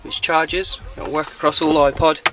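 A man's voice: a little high, little pitch movement, normal speed. A man giving an informal, matter-of-fact product walkthrough as an installer, talking off the cuff. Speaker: car audio installer presenting a demo. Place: in and around a parked car.

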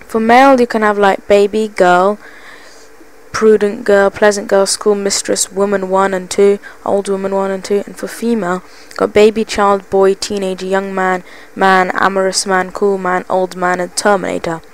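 A woman talking through a software tutorial into a basic PC microphone, chatty and enthusiastic. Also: medium pitch, little pitch movement, moderate pace, low-quality recording. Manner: chatty, enthusiastic, repeats "as you can see". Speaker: tutorial presenter. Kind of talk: screen-recorded software tutorial.

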